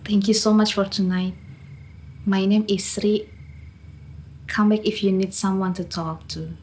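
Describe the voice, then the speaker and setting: A woman's voice: mid-range voice, fairly even intonation, a little slow, a little mellow, close to an everyday voice. A woman playing a young Javanese captive, wary but resolute, in a radio drama with music behind her. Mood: gentle, grateful.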